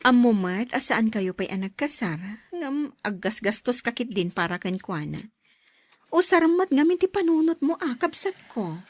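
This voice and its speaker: A woman plays a caring but outspoken sister in an Ilocano radio drama. Her voice is fairly high, and the sound is dry and close. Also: sing-song, normal speed, no room sound. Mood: advising, chiding.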